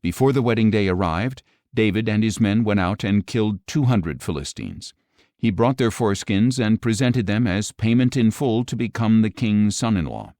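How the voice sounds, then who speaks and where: Male scripture narrator, lowish voice, very lively intonation, measured storytelling, dry close studio sound. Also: no echo. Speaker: audiobook narrator.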